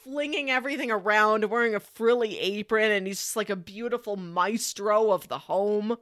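A woman's voice: mid-range voice, normal speed, a bit bright, no room sound. A woman in a casual podcast conversation, recorded dry with no room sound. She has a mid-range voice and describes things eagerly, piling up adjectives as a co-host would. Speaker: podcast co-host reviewing anime. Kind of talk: casual chat.

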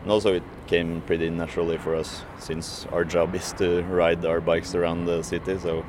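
Man, very low voice, hesitant, lots of fillers, food courier being interviewed, street noise behind. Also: level tone, moderate pace. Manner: hesitant, many fillers and restarts. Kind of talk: interview answers.

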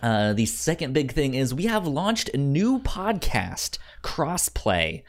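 A man's voice: medium pitch, very animated, slow. A man hosting a casual film podcast, who stammers and often repeats the beginnings of words. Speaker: podcast host.

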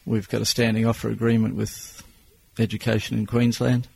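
Australian business owner in his 60s, low flat delivery, plain-spoken, measured. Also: creaky, slight background noise. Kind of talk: interview answers.

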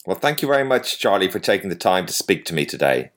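A male podcast host with a very low voice reads a formal, polished guest introduction. He then moves into interview questions in the same steady, scripted tone. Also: fast talker.

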